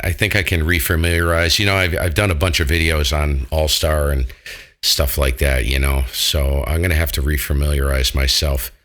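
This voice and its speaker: A man's voice: very deep voice, a bit bright. An American man chatting casually as an amateur radio operator, colloquial and jokey in manner.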